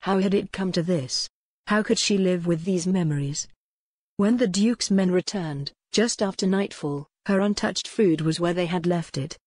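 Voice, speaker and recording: Woman reading a romance audiobook, lowish voice, dry close mic. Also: moderate pace, a little mellow, breathy edge, dry recording. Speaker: audiobook narrator. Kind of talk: scripted audiobook narration.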